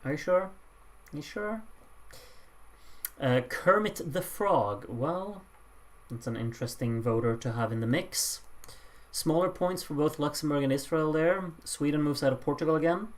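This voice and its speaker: Someone hosting a live points vote with casual commentary, announcing results with drawn-out pauses and slipping in dry, offhand asides. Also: mid-range voice. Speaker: host announcing song contest voting results.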